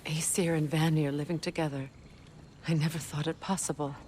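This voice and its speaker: An actress playing a gracious queen in a game, speaking in a measured way. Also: sits low, level tone, darkish tone, slight background noise, lightly acted. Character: gracious queen of the forest people.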